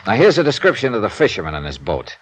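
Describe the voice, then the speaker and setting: Hard-boiled american private eye, old radio show, dry and clipped, lots of up and down, noisy recording. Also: male voice, fairly low pitch, huge pitch swings, fast. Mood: wary, businesslike. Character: hard-boiled, wry American male insurance investigator narrating his case. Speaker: lead actor and narrator. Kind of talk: old-time radio detective acting.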